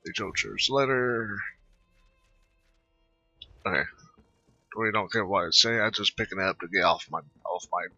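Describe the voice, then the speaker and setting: A man giving relaxed, rambling commentary while playing a game. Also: lowish voice, measured pace, long pauses, breathy edge, muffled recording, faint music behind. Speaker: gamer. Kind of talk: casual gameplay commentary.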